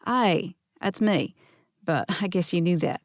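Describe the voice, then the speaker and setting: A woman's voice: lowish voice, animated, slow, no echo, clean studio sound. A woman voicing a young man's easygoing answering-machine greeting. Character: young man on a recorded greeting.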